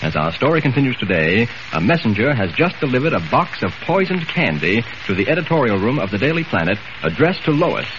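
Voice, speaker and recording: A man's voice: medium pitch, sing-song, brisk. A man narrating a 1940s radio serial in a scripted, dramatic, suspenseful storytelling manner. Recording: noisy, muffled vintage radio recording.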